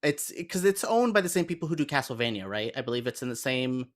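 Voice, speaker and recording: Male panelist, mid-pitched voice, casual and opinionated, keen delivery. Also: sing-song, fast. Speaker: podcast panelist. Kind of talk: casual chat.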